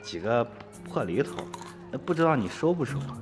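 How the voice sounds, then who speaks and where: A man's voice: medium pitch, very animated, normal speed, in a large hall, music in the background, lightly acted. Stammering, nervous young village man played by an actor. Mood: sheepish. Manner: stammering, hesitant, flustered. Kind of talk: Chinese radio drama acting.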